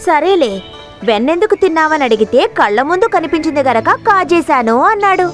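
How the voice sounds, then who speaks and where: A woman's voice: high-pitched, animated, a little fast, music in the background. A woman voicing an exasperated village milkmaid in a children's cartoon, full of indignant complaint. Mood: indignant, complaining. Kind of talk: animated children's cartoon acting.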